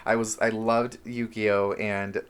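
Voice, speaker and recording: A man's voice: fairly low pitch, level tone, slow, clear, resonant tone. A man talking casually and openly to his viewers as a self-help vlogger, with an earnest, encouraging, informal manner. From Honduras, moved to the United States at seven. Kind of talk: casual confessional vlog talk to viewers.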